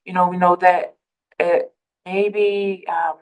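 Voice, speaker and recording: Tongan-accented woman presenter, slow and even, lowish voice, hesitant with fillers. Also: clear, resonant tone. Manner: hesitant, many fillers and restarts. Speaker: presenter for a behavioral health center. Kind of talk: webinar presentation.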